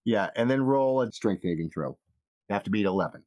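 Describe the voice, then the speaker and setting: A man's voice: fairly low pitch, expressive, fairly quick, darkish tone, slight background noise. A man narrating a tabletop role-playing game as game master, casual and free with swearing. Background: music.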